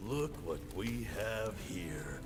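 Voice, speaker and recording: A man's voice: on the low side, very animated, slow. A man playing a cruel, gloating military commander in a video game, with a sneering, theatrical menace. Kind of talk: dramatic video game voice acting. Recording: long reverb with music behind.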